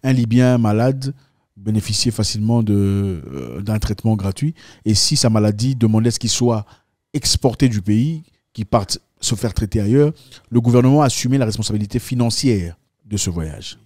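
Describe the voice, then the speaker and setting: A man's voice: lowish voice, lively intonation, moderate pace, a little mellow, no echo, studio quality. A man hosting an opinionated political discussion, speaking emphatically and repeating phrases for effect.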